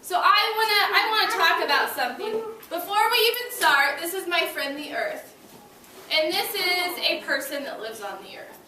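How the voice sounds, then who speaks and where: A woman's voice: extremely high pitch, lively intonation, normal speed, sharp tone. A woman teaching young children as an elementary school teacher, instructing them and prompting them to repeat after her. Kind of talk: interactive classroom read-aloud lesson to young children. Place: indoor school classroom. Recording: noisy echoey classroom, distant microphone. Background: room noise and children.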